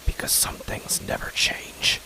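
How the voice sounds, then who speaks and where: A man voicing a grieving parent alone in a dark, silent house, speaking in a slow, hushed, dreamlike monologue for a horror story. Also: slight background noise, rough recording. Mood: eerie, hushed. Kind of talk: first-person horror narration.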